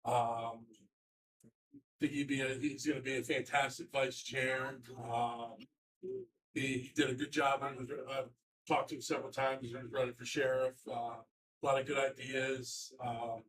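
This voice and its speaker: A man speaking from the floor of a party meeting without a microphone, loud and halting as he nominates a candidate. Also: medium pitch, flat delivery. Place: meeting room at a convention. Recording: voice from far off the mic.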